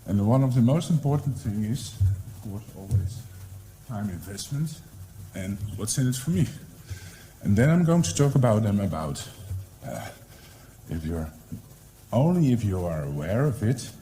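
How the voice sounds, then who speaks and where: A man's voice: on the low side, animated, normal speed, dark tone, poor audio. A middle-aged man with a Dutch accent giving a conference presentation, hesitant with many fillers and restarts. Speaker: library department head. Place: conference room.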